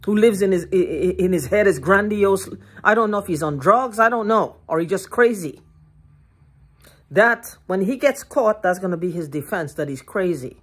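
An older woman ranting to her phone camera in a living room, heated, profane and repetitive, with a hoarse throat. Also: medium pitch, expressive, moderate pace. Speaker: vlogger. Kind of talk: agitated rambling monologue to camera. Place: living room at home, indoors. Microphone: phone held at arm's length.

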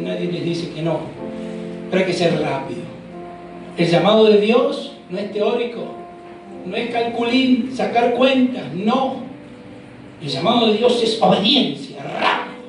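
A middle-aged man with an Argentine accent, preaching a fervent sermon with shouts and quips to a packed church. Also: high voice, far from the mic. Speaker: pastor. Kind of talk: energetic sermon. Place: large packed church hall. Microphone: handheld mic. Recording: echoey hall, crowd noise. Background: congregation noise.

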